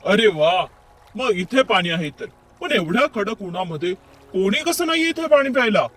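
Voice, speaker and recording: Carefree jungle fox for a kids' fable, high-voiced, chatty, exclamatory, played by a man. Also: very animated, normal speed, a bit bright, exaggerated voice. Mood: parched, relieved. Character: thirsty, carefree male animal of the jungle. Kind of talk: animal storybook character voice.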